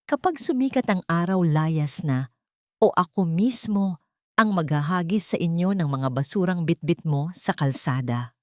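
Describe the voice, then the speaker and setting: A woman with a Filipino accent voicing an angry, gruff, threatening male landlord in a story. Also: fairly low pitch, animated, unhurried, darkish tone, no echo, studio quality.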